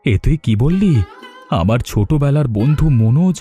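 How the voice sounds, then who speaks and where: A caring village father, voiced by a male actor in a cartoon fable. He is gentle, anxious and earnest, with a mellow, soft-edged tone. Faint music plays underneath. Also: mid-range voice, animated, normal speed, natural voice. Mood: shocked, sorrowful. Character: caring, worried poor village father and husband. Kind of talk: Bangla moral-story cartoon dubbing.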